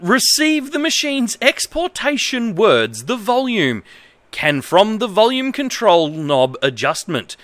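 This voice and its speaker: An Australian man in his thirties reading aloud on a livestream in a halting, exaggerated way, with incredulous asides. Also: high voice, big pitch swings, fairly bright tone, no room sound. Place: indoor home streaming setup.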